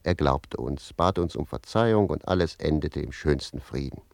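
A wry manservant telling stories in a radio drama. His voice is extremely low and gravelly, recorded very dry. He sounds unhurried, sly and falsely innocent. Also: darkish tone, no echo. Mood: wry, teasing. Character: sly, easygoing servant Jakob, spinning bawdy tales. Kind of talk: radio play storytelling.

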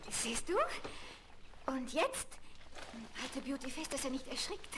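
A woman's voice: sits high, unhurried. A woman playing a bold, cheeky young circus girl in a 1970s German children's radio play, with an exuberant, commanding manner. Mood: eager, encouraging. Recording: noisy 1970s vinyl transfer.

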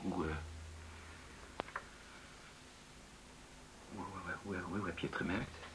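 An actor playing an evasive middle-aged man in a naturalistic radio drama, halting and stammering as he talks to his partner. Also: low-pitched, a bit bright, a little hiss or hum, rough recording. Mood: stunned, subdued. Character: evasive, hesitant middle-aged male partner, casually teasing.

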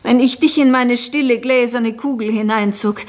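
A woman's voice: on the high side, fairly even intonation, normal speed, darkish tone, natural voice. An actress playing an anxious noblewoman in a 1960s radio drama, speaking in an intense, pleading, confiding way. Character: anxious noblewoman who believes she is someone else. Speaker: Florentine.

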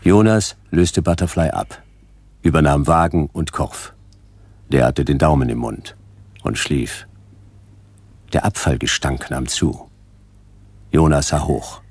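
An actor playing a laconic, weary hard-boiled detective, narrating and speaking in terse deadpan in a radio play. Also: male voice, rumbling low, slow, pauses often, slightly rough, dry recording, exaggerated voice. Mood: grim, terse. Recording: radio play over loud backing music.

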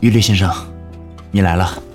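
A man playing a gentle, polite and slightly teasing young courier in an audio drama, soft-spoken and courteous. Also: sits low, steady tone, normal speed, close to an everyday voice. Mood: friendly, gentle. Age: young adult. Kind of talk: Chinese audio drama acting. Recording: music under the voice. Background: music.

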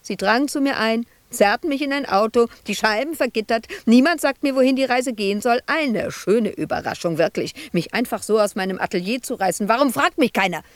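A woman's voice: a little high, animated, a little fast, few pauses, dry recording, lightly acted. An actress playing a bitter, wounded woman sculptor shut away in an asylum, in a reproachful, volatile radio drama monologue. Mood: indignant. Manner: reproachful, volatile, dropping at times to hushed murmurs.

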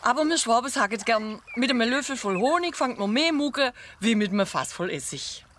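Folksy Swabian woman, bright voice, lively melody, sly, homely, radio drama. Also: on the high side, brisk, slight background noise.

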